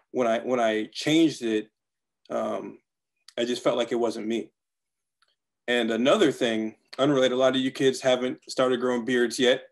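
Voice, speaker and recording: A middle-aged American man giving a casual personal reflection on a video call. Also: mid-range voice.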